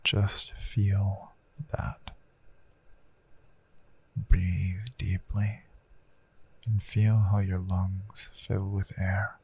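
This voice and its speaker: A gentle male hypnotist, acted by a man, murmurs a guided meditation in a very husky, breathy voice full of gravelly fry. He is soothing and deliberate, leaving long pauses, with a crisp bright edge to the hush. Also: low-pitched, level tone, full character voice. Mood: hushed, intimate. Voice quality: breathy, often slipping into whisper. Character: gentle male hypnotist Count, calm and reassuring. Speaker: hypnotist, audio host. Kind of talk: slow hushed guided hypnosis meditation, ASMR.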